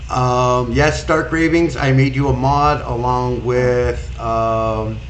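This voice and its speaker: A man in his forties hosting a livestream, reading chat aloud in a slow, relaxed way with many fillers. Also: mid-range voice, a little hiss or hum, poor audio. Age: 40s. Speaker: streamer host. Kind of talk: casual livestream talk.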